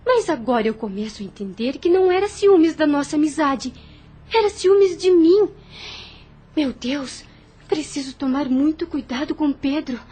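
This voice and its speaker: Woman playing a high-voiced, earnest young plantation mistress. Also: lively intonation, normal speed, mellow. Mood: troubled, reflective. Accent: Brazilian. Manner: earnest, hesitant when uneasy. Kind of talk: audio drama acting.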